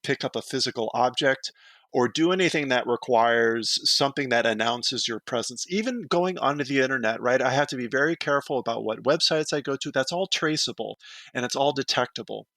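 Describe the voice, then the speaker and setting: A man giving an expert guest's measured explanations, often checking in with "right?". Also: mid-range voice, fairly bright tone, no echo. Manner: measured, explanatory, tag questions. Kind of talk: interview answers.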